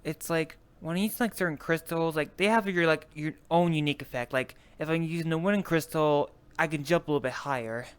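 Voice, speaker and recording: A man playing an easygoing, unsure adventurer in an improvised online roleplay, talking casually and haltingly. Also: fairly high pitch, smooth, lightly acted. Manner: hesitant, halting, searching for words. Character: easygoing, unsure young adventurer with an elemental bracelet. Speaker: roleplay player. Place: virtual reality voice chat.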